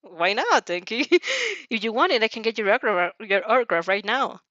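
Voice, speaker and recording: A woman reads as a polite teenage heroine in a fan fiction story. She is modest and demure with gentle teasing, in a dry, slightly lo-fi recording. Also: fairly high pitch, very animated, moderate pace, no room sound. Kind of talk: fan fiction character reading.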